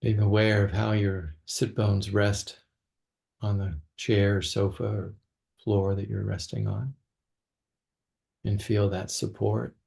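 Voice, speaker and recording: A man leading a guided meditation as a teacher, speaking gently and slowly with long pauses between phrases. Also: on the low side, little pitch movement, vocal fry.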